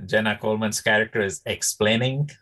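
A man on a casual review podcast, giving measured opinions in a halting way. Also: fairly low pitch, steady tone, bright, forceful tone. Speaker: podcast host. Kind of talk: casual panel review chat.

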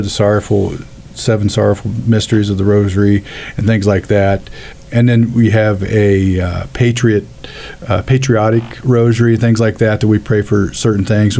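Male radio guest, warm dark voice, rambling and halting storyteller. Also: lowish voice. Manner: halting, restarts often, tells stories. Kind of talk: casual radio conversation.

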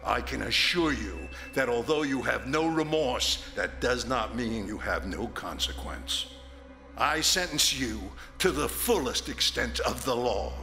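Guy acting a stern judge, bright forceful voice, grand and solemn, reverby hall w/ music. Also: mid-range voice. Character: stern, condemning courtroom judge. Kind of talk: audio drama acting.

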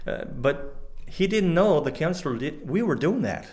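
Guest: middle-aged man, French Canadian-sounding accent, halting aphasic delivery, candid testimony with frequent pauses and fillers. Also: fairly high pitch, very animated, darkish tone. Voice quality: halting aphasic speech after a stroke. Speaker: guest, former pastor. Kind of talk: personal testimony in an interview.

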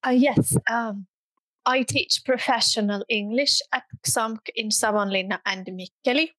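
A woman whose first language is Russian, a language teacher hosting a podcast who introduces the episode and asks questions in a clear, deliberate way. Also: fairly high pitch, a bit bright, dry recording, low-quality recording.

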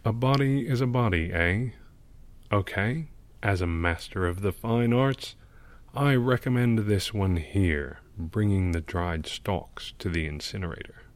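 Man acting a slow, low-voiced gloomy old painter. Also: no room sound, lightly acted. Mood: dry, sardonic. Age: older. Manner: sardonic, world-weary, deliberate. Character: gloomy, cynical old male mural painter. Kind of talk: audio drama acting.

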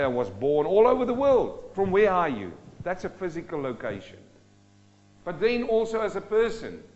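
A man from South Africa preaching a sermon, swinging between loud rising questions and low, slow emphatic drops. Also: dull audio. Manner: dynamic, rising and dropping sharply for emphasis.

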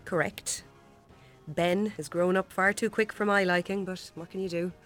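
An actress playing a friendly Irish visiting nurse in a radio drama, reassuring and gently probing, with a lightly teasing manner. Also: female voice, on the low side, steady tone, normal speed, soft background music, close to an everyday voice. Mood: chatty, calm. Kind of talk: naturalistic radio drama acting.

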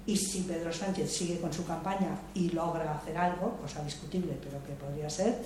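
A woman's voice: low voice, steady tone, a little fast, warm tone. Older woman in an echoey hall. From Madrid. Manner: reflective, hesitant, many restarts and repeated words. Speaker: debate speaker. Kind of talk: spontaneous political talk at a public debate. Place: large hall, public debate session. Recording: echoey room, voice from far off, faint noise.

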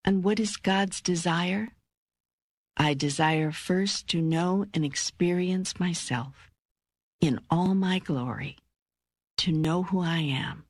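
God in a spiritual audiobook as a patient, all-knowing teacher. The delivery is slow and deliberate with weighty pauses. The voice is a little husky, in a dry, close studio sound. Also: female voice, fairly low pitch, no room sound. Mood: serene, assured. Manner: measured, deliberate, emphatic pauses. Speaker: audiobook narrator. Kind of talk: scripted audiobook reading of spiritual dialogue.

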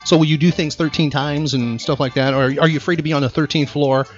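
A man's voice: on the high side, steady tone, fast talker, highs cut off, faint music behind. A man hosting a chatty trivia podcast in a playful, teasing manner. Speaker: podcast host.

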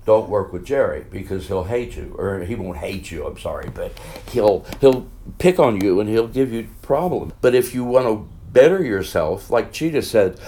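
Older male guest, low and loud, animated raconteur. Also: moderate pace, slight background noise. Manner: animated storyteller, exclaims. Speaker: guest, veteran musical performer. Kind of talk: conversational interview.

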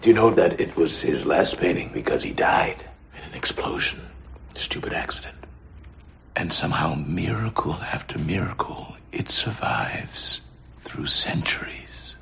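An actor playing a grieving older man in a film scene, speaking in a hushed, gravelly whisper and pleading, then accusing. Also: sits low, lively intonation, unhurried, full character voice. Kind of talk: film dialogue.

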